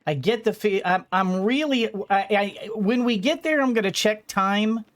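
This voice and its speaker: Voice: medium pitch, expressive, moderate pace. A gaming streamer giving casual commentary, rambling and thinking aloud during play.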